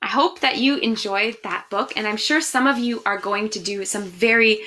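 A woman's voice: medium pitch, moderate pace, bright, forceful tone. A young adult woman reading a picture book aloud expressively and chatting casually to children from a small room at home. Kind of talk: animated read-aloud and casual address to children.

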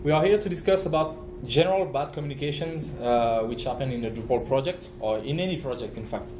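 A man from France with a French accent, giving a conference talk as a project manager, halting, with many fillers and restarts. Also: medium pitch, reverberant, background noise. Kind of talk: conference presentation. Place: conference room.

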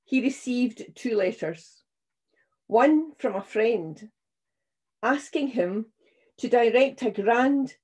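An older woman speaks as a minister giving readings and a sermon. Her voice sits fairly high for a woman, yet it is mellow and somewhat husky. She phrases with solemn, deliberate care. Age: 60s or older. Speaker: minister leading a church service. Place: small room at home, indoors.

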